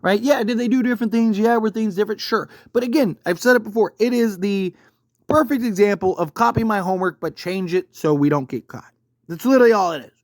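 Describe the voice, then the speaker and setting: An online commentator with a mid-pitched voice, recorded close and very dry. The delivery is a casual, opinionated rant, emphatic and conversational, punctuated by rhetorical check-ins. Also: quick pace, no room sound. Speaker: YouTube host giving film commentary.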